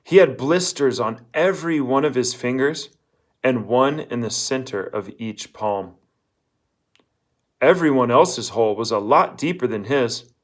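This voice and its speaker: A man's voice: mid-range voice, lively intonation, unhurried. A man narrating a children's novel, reading aloud from the text as a storyteller. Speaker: audiobook reader.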